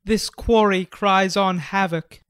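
A man's voice: high-pitched, steady tone, slow pace, a bit bright, clear, resonant tone, no room sound, clean studio sound. A man acting a grave young conquering prince in a classical tragedy, with stately, commanding declamation. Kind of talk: classical stage tragedy, dramatic audiobook reading.

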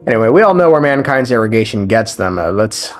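A man's voice: on the low side, very animated, quick pace. A man giving a casual, conversational recap of a video game story as a lore commentator, with slang and swearing. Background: game music.

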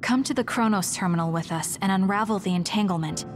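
An actress playing a firm, direct young heroine fighter in an anime-style video game. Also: female voice, mid-range voice, fast talker, soft background music, natural voice. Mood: stern.

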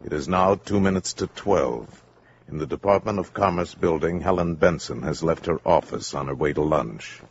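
A man's voice: a bass voice, normal speed, gravelly, background noise. A man with an American accent narrating a 1950s science fiction radio drama in a dramatic, suspenseful way. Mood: grave, urgent. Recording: muffled 1950s radio recording, music behind.

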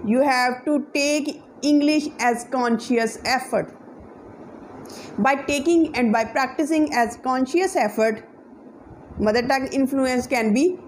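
Young pakistani woman teaching english to camera, high voice, earnest and emphatic, punjabi native, indoors on a sofa. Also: a little slow, darkish tone, a little hiss or hum, low-quality recording. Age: young adult. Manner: earnest, emphatic, lots of hand gestures. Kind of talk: motivational talk to camera. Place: indoor room, seated on a sofa.

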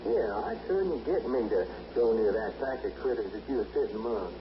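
A man playing an excitable, good-natured adventurer in an old-time radio drama. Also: mid-range voice, brisk, lightly acted. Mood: admiring, folksy. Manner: folksy, exclamatory. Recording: noisy dull vintage radio recording.

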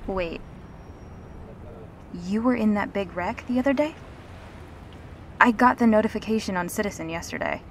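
A woman playing a gentle, reassuring revenant in an intimate audio roleplay, speaking earnestly and haltingly. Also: mid-range voice, slight background noise, close to an everyday voice. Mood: relieved, friendly. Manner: halting, earnest, soft-spoken pauses.

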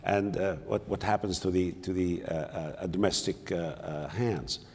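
An older man speaking on a conference panel, halting, with many repeated words and fillers. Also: sits low, a little mellow. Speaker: panelist, city mayor. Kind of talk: panel discussion. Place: large conference hall, on stage. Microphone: lapel mic. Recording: long hall reverb.

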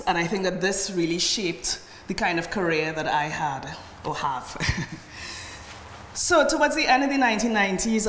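A middle-aged woman from Trinidad and Tobago with a Trinidadian accent, giving a measured, personal conference talk at a podium and often checking in with "right?". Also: lowish voice, fairly bright tone, slight background noise. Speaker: conference speaker. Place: large lecture hall. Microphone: podium microphone from a far camera. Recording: echoey hall recorded from afar.

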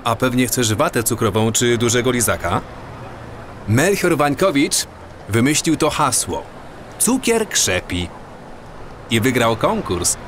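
A man's voice: mid-range voice, a bit bright, a little hiss or hum. An actor playing a knowing, companionable young man in an audio drama, with a matter-of-fact, explaining way of talking. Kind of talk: Polish audio drama dialogue.

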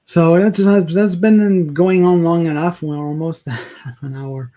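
Higher-pitched man, halting talker. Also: fairly even intonation, dark tone. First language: French. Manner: halting, searching for words, self-correcting. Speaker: video blogger. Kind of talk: casual opinion rant to camera. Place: small indoor room, home office.

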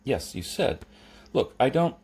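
An awkward, flustered storybook man, as a male narrator plays him, tentative and polite. He stammers in a soft-edged, husky voice with pitch that rises and wavers. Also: big pitch swings, unhurried, heavily acted. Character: Jeremy, awkward, hesitant, flustered socially inept man.

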